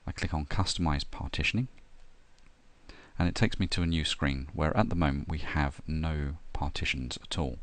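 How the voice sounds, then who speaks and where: A middle-aged man talking through a software install, step by step, with frequent ums and uhs. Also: a bass voice, dry recording. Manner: steady step-by-step explaining with frequent "uh" and "um". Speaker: software tutorial presenter. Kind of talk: screen-recorded tutorial narration.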